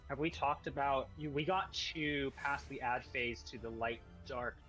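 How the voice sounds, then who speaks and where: A man leading an online game raid over voice chat, giving calm step-by-step callouts. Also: mid-range voice, moderate pace, rough recording. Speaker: raid leader calling mechanics. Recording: game music behind voice chat.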